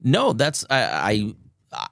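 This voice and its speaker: A young man from Nebraska co-hosts a casual movie chat show, his pitch leaping around as he jokes and restarts his sentences. He sits close to the mic in a dry, dead room with no echo. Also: huge pitch swings, slow, vocal fry, fairly bright tone. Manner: joking, stumbling restarts, playful. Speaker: co-host.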